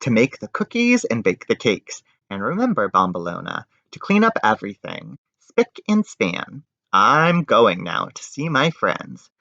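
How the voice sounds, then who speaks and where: A man voicing a bossy, idle baker father in a picture book, giving orders in a drawn-out way. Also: on the low side, wildly swooping pitch, gravelly, sharp tone, heavily acted. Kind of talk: children's picture-book character voice. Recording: dull, roomy home recording.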